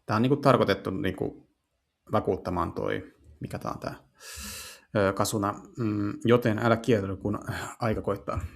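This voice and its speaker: A man doing a casual let's play, translating as he goes and haltingly searching for words. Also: on the low side, fairly even intonation, creaky. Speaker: streamer playing and translating visual novels.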